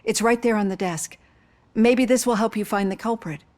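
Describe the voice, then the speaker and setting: An actress playing a psychologist in her fifties who asks gentle, probing questions. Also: mid-range voice, normal speed, natural voice. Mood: earnest. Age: 50s. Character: female psychologist in her fifties, caring and composed.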